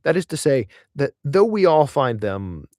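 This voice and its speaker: A man reading a literary essay aloud in audiobook style, in a measured, expressive way with deliberate emphasis. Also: medium pitch, dry recording, clean studio sound.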